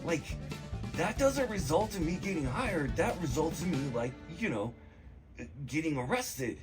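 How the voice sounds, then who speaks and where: A man with a fairly high voice talks over music in a casual, comic ramble. His pitch swings widely as he meanders through a movie recap, excitable and full of slang and swearing. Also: a little slow.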